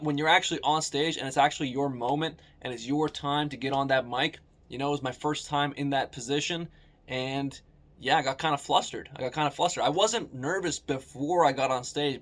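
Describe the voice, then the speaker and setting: A man's voice: mid-range voice, a little fast, a bit bright. An American man narrating his own vlog in a casual, candid way, swearing now and then. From United States. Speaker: vlogger.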